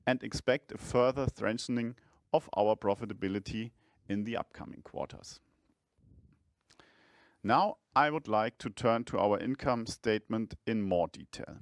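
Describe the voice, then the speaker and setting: A man speaking as a chief financial officer, delivering a scripted earnings presentation in a measured, formal manner. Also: mid-range voice, no echo.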